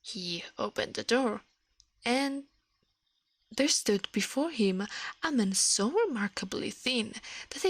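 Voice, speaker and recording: A woman with an Italian accent speaking in a soft, breathy ASMR style that often slips toward a whisper. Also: a little high, no echo. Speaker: ASMR livestreamer. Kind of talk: ASMR, soft storybook reading and chat with viewers.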